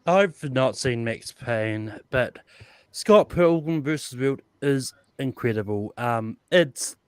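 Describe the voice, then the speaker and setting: A man's voice: medium pitch, lively intonation, slow, smooth, dry recording, clean studio sound. A man on a panel casually giving his opinion on films. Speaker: film panelist. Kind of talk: casual chat.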